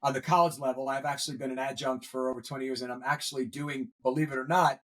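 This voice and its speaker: A middle-aged man, a grandfather, speaking earnestly as an interview guest, pausing often with fillers. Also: mid-range voice, level tone. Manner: earnest, hesitant with many fillers. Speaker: guest, veteran educator. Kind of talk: conversational interview.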